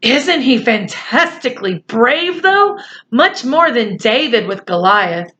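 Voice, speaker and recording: A woman voicing an excitable, stubborn young boy who pleads and argues in a high, strained voice. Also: slow pace, heavily acted. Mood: excited.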